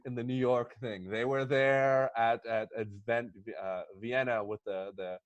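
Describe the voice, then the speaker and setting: A man on a podcast panel pitching movie ideas casually and comedically, rambling excitably and acting out little imagined quotes. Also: dry recording.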